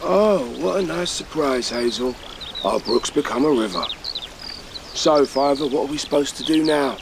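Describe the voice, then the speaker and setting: A man's voice: mid-range voice, animated, normal speed, vocal fry, noisy background. A male actor playing a tough, defiant rabbit fighter in a radio drama, with a blunt, sardonic and commanding manner.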